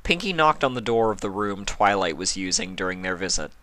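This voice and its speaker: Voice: low voice, expressive, fairly quick, pushed and bright, no echo. A narrator reading a story aloud. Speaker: fan fiction narrator.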